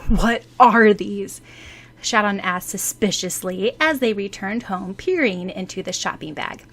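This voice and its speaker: A woman's voice: mid-range voice, animated, moderate pace, a bit bright, slight background noise. A woman reading scripted story narration expressively, as an audiobook narrator.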